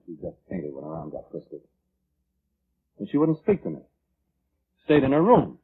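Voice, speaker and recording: A man's voice: fairly low pitch, husky, exaggerated voice. A man acting in a 1940s radio drama, playing a violent, self-justifying man who defensively recounts his grudge. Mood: brooding. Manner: defensive, blustering. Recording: muffled old radio broadcast, music underneath.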